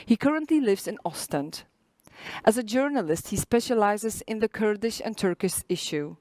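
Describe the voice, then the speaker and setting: Woman, from Poland, festival presenter, reading a prepared intro, measured, dry close sound. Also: medium pitch, expressive, a little mellow, no echo.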